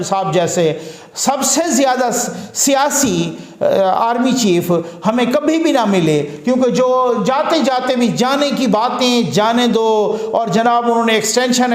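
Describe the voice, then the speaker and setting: A man's voice: very high-pitched, echoey room. A man from Pakistan delivering a political commentary monologue, earnest and rhetorical, building his points through repeated phrases. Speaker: news commentator.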